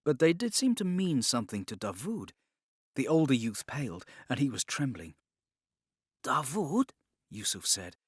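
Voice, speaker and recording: A man reads dramatic audiobook narration at a medium pitch. His intonation is very varied, and the recording is close and dry. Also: slightly rough, no room sound.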